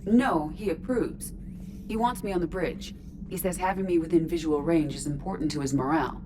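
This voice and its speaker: An actress playing a curious, logical artificial intelligence in a robot body in a video game, speaking formally, literally and with composure. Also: female voice, low voice, fairly quick, background noise, close to an everyday voice. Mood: earnest, inquiring. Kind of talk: video game dialogue acting. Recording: clear ship ambience behind voice.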